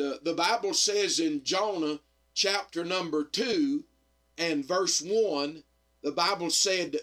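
A middle-aged man, slightly hoarse, preaching earnestly on radio with frequent amens and pauses. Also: a little high, a bit bright. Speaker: radio evangelist and pastor. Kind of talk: radio sermon.

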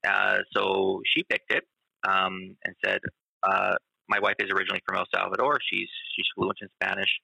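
A male teacher talking in a casual conversation, halting and full of fillers. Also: low-pitched, monotone, creaky, pushed and bright, breathy edge, no echo, poor audio. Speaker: teacher and guest.

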